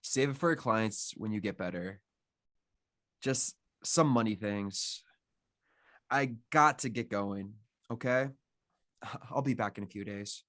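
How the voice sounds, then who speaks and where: A man's voice: on the low side, slow pace, long pauses, fairly bright tone, dry recording. A man acts out a determined young man in a screenplay reading. He keeps his lines terse and everyday, with a very dry, close sound. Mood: earnest. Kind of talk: table read of a screenplay.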